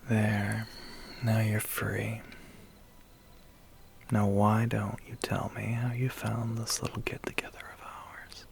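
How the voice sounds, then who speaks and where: Guy doing a suave vampire biker for asmr, slow gravelly half whisper, kinda threatening and teasing. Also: fairly low pitch, completely flat delivery, slight background noise. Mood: quiet menace. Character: suave, menacing 1980s male vampire biker. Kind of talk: ASMR audio roleplay.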